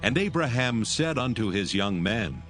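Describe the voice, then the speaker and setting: A man's voice: mid-range voice, very animated, a little slow, fairly bright tone, soft background music. A man narrating scripture as a scripted reading.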